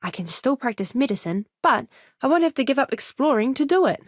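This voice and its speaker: A woman voicing an earnest, eager young ship's doctor in a video game, with a polite, expressive manner. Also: high voice, fairly quick, no echo, clean studio sound. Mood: eager, friendly. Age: young adult. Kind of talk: game character voice lines.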